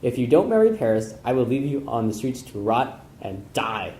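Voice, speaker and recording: A man's voice: mid-range voice, very animated, normal speed, darkish tone, close to an everyday voice. A man voicing a tyrannical noble father in a puppet play, scolding emphatically, in an echoey room. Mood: furious.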